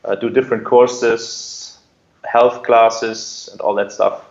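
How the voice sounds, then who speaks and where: A man explains things in an interview. His mid-pitched voice has a gravelly fry, and the sound is roomy and slightly dull. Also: level tone, takes it slowly, darkish tone. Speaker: air traffic controller, interview guest. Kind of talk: conversational interview answers, explaining.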